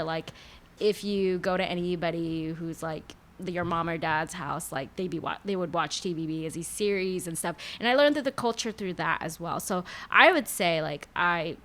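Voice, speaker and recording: A young woman talking in a casual interview, chatty and animated, full of filler words. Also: lowish voice. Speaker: guest, food creator.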